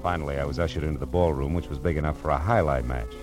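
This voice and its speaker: A man's voice: extremely low pitch, racing. A man with an American accent playing a tough, wry private detective in a 1940s radio crime drama, clipped and hard-boiled. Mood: sardonic narration. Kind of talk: 1940s radio crime drama acting, first-person narration. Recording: vintage radio recording with music behind.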